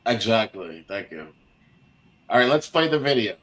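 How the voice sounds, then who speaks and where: A man's voice: on the low side, big pitch swings, normal speed, a bit bright. A man with a nasal voice hosting a heated panel argument, defensive and pleading. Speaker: host.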